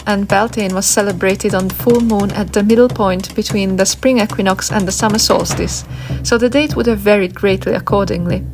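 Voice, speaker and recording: A woman reads scripted narration in a documentary style. Her voice sits at an ordinary middle pitch for a woman. Music plays clearly underneath her throughout.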